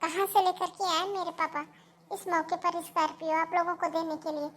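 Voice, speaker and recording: A woman's voice: extremely high pitch, fairly even intonation, fairly quick, a bit bright. A woman voicing a young bride who is hurt and defiant, with indignant, pleading questions. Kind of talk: cartoon story voice acting.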